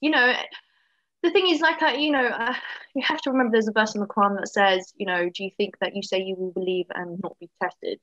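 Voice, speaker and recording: A woman's voice: medium pitch, steady tone, brisk, fairly bright tone, no echo. A woman co-hosting a podcast, talking frankly and chattily with lots of fillers and easy laughs. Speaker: podcast co-host. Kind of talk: casual podcast chat. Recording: dull, dry, lo-fi sound.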